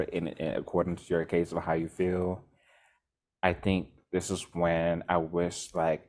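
A man co-hosting a podcast, talking casually in a rambling way with bursts of energy. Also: low-pitched. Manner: rambling, restarts often, animated bursts. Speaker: podcast co-host.

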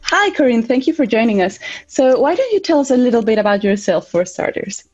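A woman with a fairly high voice interviews her guest. She sounds friendly and curious and keeps the questions coming. The audio comes through muffled. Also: sing-song, brisk, darkish tone. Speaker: podcast host, interviewer. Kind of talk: conversational interview. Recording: muffled, low-fidelity audio.

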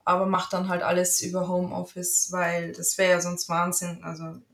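A woman's voice: fairly low pitch, completely flat delivery, normal speed, fairly bright tone. Young woman, italian is her first language, rambles a lot w/ fillers, roomy echo. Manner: chatty, rambling with many fillers. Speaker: interview guest, office assistant and freelance translator. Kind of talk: casual interview chat.

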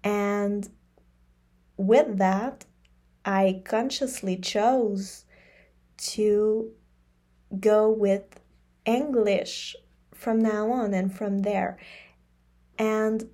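A woman whose first language is French, hosting a solo podcast in a slow, reflective monologue that repeats phrases for emphasis. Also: mid-range voice, fairly even intonation, dark tone. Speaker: podcast host.

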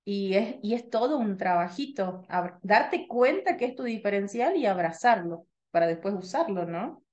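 A woman in her thirties from Tucumán with a slightly softened Tucumán Argentine accent, co-hosting a casual radio podcast chat with trained, clear articulation. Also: mid-range voice, moderate pace, highs cut off. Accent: Tucumán Argentine Spanish, slightly softened. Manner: chatty, lively, trained articulation.

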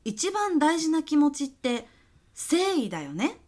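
A woman's voice: high-pitched, sing-song, a little slow, darkish tone. A woman playing a sly senior client executive in a comedy radio sketch, talking in a casual, chummy way that probes pointedly. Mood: cool, pointed. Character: sly, breezy senior female client executive, testing a vendor.

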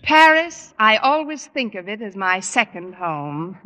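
An actress playing a quick-witted, bluffing schoolteacher with wry, theatrical delivery in an old-time radio sitcom. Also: female voice, medium pitch, very animated, bright, forceful tone. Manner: wry, theatrical, punchy wisecracks. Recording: noisy old radio recording.

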